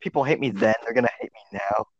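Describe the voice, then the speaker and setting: A man's voice: on the low side, fast talker, no echo. A man co-hosting a casual podcast, cracking crude jokes and doing mock ad reads. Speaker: podcast co-host. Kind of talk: casual chat. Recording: dry, dull lo-fi audio.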